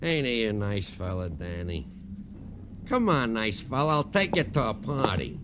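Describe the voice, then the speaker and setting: An actor playing a rough, slangy, streetwise tough guy with a big heart in an old radio crime drama, needling and menacing. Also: fairly low pitch, sing-song, a little slow. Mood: gruffly friendly. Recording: noisy old radio broadcast recording.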